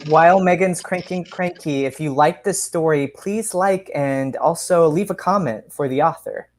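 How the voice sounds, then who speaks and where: A man's voice: fairly high pitch, normal speed. A man in his thirties co-hosting a podcast over a video call, chatting casually about a short story. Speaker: podcast co-host. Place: room at home, video call.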